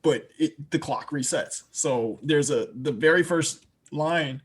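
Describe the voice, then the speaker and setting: Young dc rapper on a podcast, kinda husky, thinks out loud and restarts a lot. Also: male voice, fairly high pitch, slow. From Washington, D.C., USA. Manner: reflective, restarts phrases, repeats words. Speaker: rapper and producer guest. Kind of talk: podcast interview.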